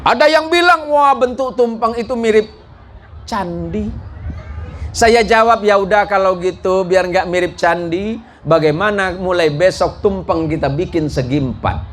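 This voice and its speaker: A man's voice: sits high, unhurried, fairly bright tone. A man preaching a sermon to a congregation in a jocular, folksy way, often putting questions to his listeners. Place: mosque gathering before a live congregation. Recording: live talk with clear background noise.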